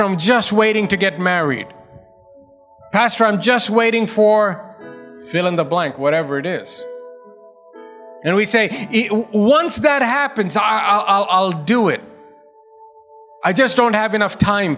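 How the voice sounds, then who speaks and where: High-voiced preaching man. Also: a bit bright. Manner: preaching with rising emphasis and repetition. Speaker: pastor. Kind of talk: sermon.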